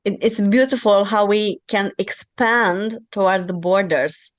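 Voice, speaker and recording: A woman's voice: medium pitch, unhurried, no echo. Earnest woman host, dry close sound. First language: Romanian. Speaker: podcast host. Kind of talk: interview conversation.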